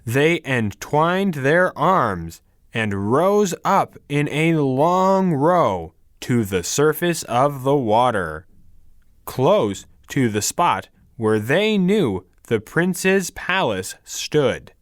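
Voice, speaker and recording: A man reads storybook prose and single words for language learners, extremely slowly and deliberately. His pitch moves widely as he goes, each word is carefully measured, and the sound is close and dry. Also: big pitch swings, no room sound. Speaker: English reader for a vocabulary lesson. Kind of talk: slow, careful scripted reading of words and storybook narration.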